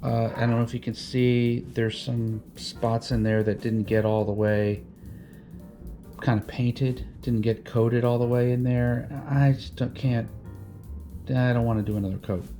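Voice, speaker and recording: A man hosting a DIY boat vlog, explaining things in casual, unscripted how-to chat with a halting delivery and repeated words. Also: mid-range voice, flat delivery, mellow, soft background music.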